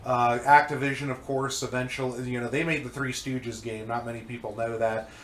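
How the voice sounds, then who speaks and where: A man's voice: mid-range voice, little pitch movement, fairly quick, fairly bright tone, roomy sound, a little hiss or hum. A congested man rambling casually about old games, with many fillers and restarts. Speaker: co-host reviewing old magazines. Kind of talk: casual chat.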